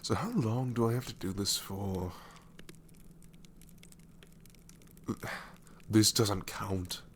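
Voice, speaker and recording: A man's voice: fairly low pitch, a little slow, a little hiss or hum, lightly acted. A man voicing a sassy, married male shadow demon in an ASMR roleplay, talking sarcastically and drawling, often dropping into whispers. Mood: grumbling.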